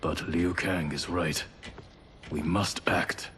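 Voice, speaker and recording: An actor playing a stern, distrustful lone warrior in a video game cutscene, with a curt delivery. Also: male voice, deep voice, steady tone, slow, a bit bright, a little hiss or hum. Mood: distrustful, resolute.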